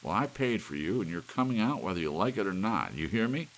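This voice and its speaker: A man's voice: low voice, sing-song, a little fast, voice pushed up. A man voicing a bitter, menacing husband in an audiobook, demanding and taunting, with rain and hiss behind him. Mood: angry, insistent. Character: bitter, menacing husband talking angrily to his clock.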